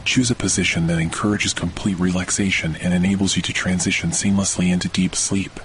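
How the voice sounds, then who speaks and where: A man narrating a scripted guided sleep meditation in a slow, soothing, deliberate way with long pauses. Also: low voice, noisy background. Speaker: podcast host, meditation guide. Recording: steady rain and thunder behind voice. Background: rainfall with thunder.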